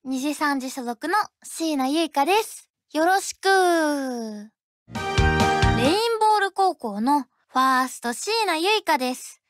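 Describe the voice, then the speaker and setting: Cheerful high school ball girl for a game: high voice, drawn-out endings, animated delivery. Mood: relaxed, playful. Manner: drawn-out playful sentence endings. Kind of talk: game character voice lines. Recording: loud game music behind the voice. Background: game music.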